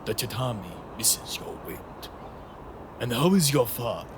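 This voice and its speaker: A man playing a wise old mystic master in an audio drama, speaking in cryptic, poetic phrases with a creaky voice. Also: mid-range voice, sing-song. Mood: warm, teasing. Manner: slow, cryptic, poetic speech. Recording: clearly noisy recording.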